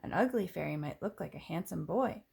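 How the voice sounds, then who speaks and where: A woman reading a children's picture book aloud in an expressive, clearly phrased way, with casual asides. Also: lowish voice, a little fast, background noise.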